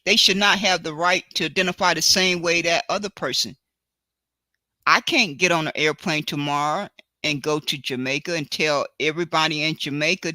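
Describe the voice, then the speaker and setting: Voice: medium pitch, sharp tone, no room sound, clean studio sound. A person from the United States hosting a livestream, lecturing in a colloquial, emphatic way that hammers the same phrases over and over. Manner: repetitive, preachy, hammers phrases home. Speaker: livestream host. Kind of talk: opinionated livestream monologue.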